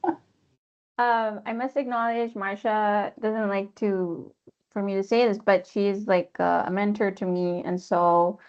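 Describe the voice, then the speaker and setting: A woman's voice: mid-range voice, fairly even intonation, slow pace, darkish tone, clean tone. American-accented woman on dull call audio. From Pakistan. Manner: conversational, frequent asides. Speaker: translator. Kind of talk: panel discussion answer. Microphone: video call.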